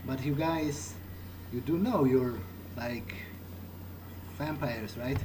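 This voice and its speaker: A man's voice: medium pitch, slow, background noise, close to an everyday voice. A man role-playing a newly turned, puzzled vampire during a game playthrough, talking in a casual, questioning, hesitant way. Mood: curious, hesitant. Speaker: game player role-playing his character. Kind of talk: improvised in-character gameplay talk.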